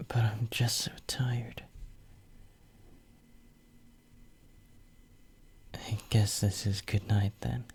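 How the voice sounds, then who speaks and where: A man playing a devoted, possessive young hero in a romantic ASMR roleplay, murmuring tenderly and intimately in a breathy whisper close to the microphone. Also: lowish voice, flat delivery, unhurried, a little mellow, a little hiss or hum. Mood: sleepy, tender. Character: devoted, possessive young male hero soothing his lover.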